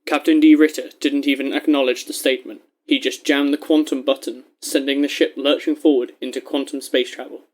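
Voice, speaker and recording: A man narrating a scripted adventure story in a measured, descriptive storytelling manner. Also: mid-range voice, level tone.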